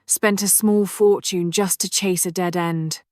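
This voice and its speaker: A woman's voice: lowish voice, steady tone, measured pace, darkish tone, no room sound, studio quality, close to an everyday voice. A woman voicing a determined English adventurer searching for her late father's artifact, speaking in a guarded, matter-of-fact way with an English accent. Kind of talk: amateur animated voice acting.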